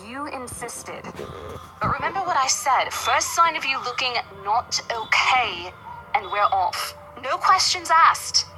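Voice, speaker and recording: Firm, caring senior coworker. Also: female voice, sits high, expressive, unhurried, sharp tone, heavily acted, low-quality recording. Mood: stern. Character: protective, no-nonsense female senior coworker. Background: background game music.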